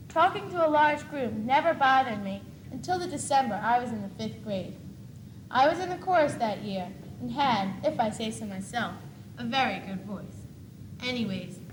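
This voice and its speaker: A woman's voice: on the high side, close to an everyday voice. A young teenage girl performing a candid schoolgirl's confession as a scripted stage monologue. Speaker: student actor. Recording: echoey noisy 1980s school TV tape.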